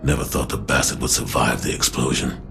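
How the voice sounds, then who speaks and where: An actor playing a grave, weary ex-gang founder full of regret in a scripted video game monologue. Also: male voice, a bass voice, fairly even intonation, fairly quick, gravelly. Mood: regretful, resolute. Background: music behind the voice.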